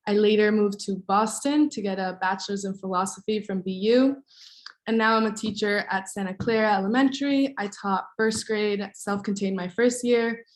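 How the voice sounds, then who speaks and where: A woman's voice: mid-range voice, rough recording. Friendly woman presenting on a video call. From Argentina, raised in Miami. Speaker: elementary school teacher presenting.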